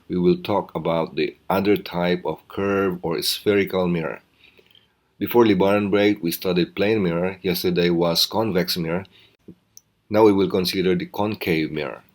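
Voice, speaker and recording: A man teaching physics in a recorded lesson, explaining step by step in a measured, instructional way. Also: sits low.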